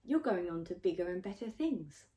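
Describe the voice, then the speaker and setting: Woman acting an older nanny, storybook character, brisk, fond, firm, coaxing, soft-edged mellow voice. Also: fairly low pitch, lively intonation, lightly acted. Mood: brisk, encouraging.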